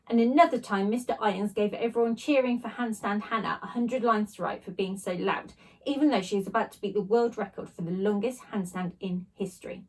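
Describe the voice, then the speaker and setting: Female storyteller for children's book: fairly high, lightly husky, expressive read-aloud delivery. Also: low-quality recording. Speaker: teacher reading a story aloud.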